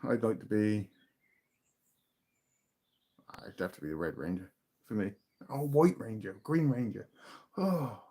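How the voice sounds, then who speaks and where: A British man from the UK hosting a casual livestream, chatting loosely and reading out viewers' questions, often trailing off into quieter asides. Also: fairly low pitch, animated, a little slow, long pauses, husky. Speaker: livestream host. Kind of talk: casual live chat reading viewer comments.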